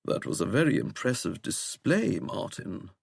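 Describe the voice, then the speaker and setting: A man's voice: deep voice, wildly swooping pitch, moderate pace, creaky, slightly rough, no echo, studio quality, heavily acted. A man voicing a suave, menacing English wizard in an audiobook, with a plummy accent and a languid, mocking delivery. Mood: amused, mocking. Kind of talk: audiobook character acting.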